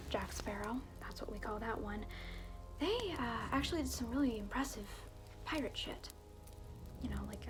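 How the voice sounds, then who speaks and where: Woman acting a slow, whispering, snarky hellish alter ego. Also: fairly high pitch, close to an everyday voice. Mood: gossipy, sardonic. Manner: sardonic, breathy, mock-courteous asides. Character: snarky, theatrical evil alter ego welcoming souls to hell. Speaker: ASMR roleplayer. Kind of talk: whispered ASMR roleplay.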